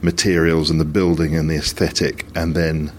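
A man presenting an on-location interview, speaking in a measured, wryly playful way. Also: very deep voice, level tone, a little hiss or hum. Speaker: radio presenter.

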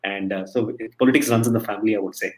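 Fast-talking man telling stories. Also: fairly low pitch, steady tone, low-quality recording. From India. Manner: chatty, storytelling, many restarts. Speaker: interview guest, emcee and entertainer. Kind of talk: casual interview talk.

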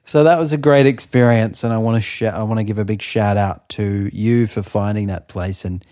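A man's voice: lowish voice, fairly even intonation, normal speed, mellow, dry recording, studio quality. A man telling a story in casual chat, amused, laughing often and restarting his sentences.

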